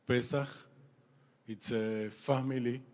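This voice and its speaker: A man from Israel and Argentina, giving a halting, emotional speech as a former hostage, repeating and apologizing for his English. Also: mid-range voice, steady tone, darkish tone. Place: large banquet hall. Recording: long hall reverb.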